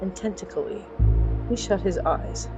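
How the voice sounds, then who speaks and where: A woman narrates a scripted fiction podcast over music. Her voice sits at a middle pitch, and her delivery is theatrical, wry and playful, as if confiding in the listener. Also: measured pace, low-quality recording.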